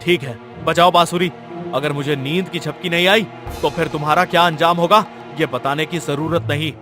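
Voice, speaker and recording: A man's voice: on the high side, fairly even intonation, normal speed, music in the background, lightly acted. A man voicing a stern, restless king in a cartoon story, with a commanding, haughty way of talking.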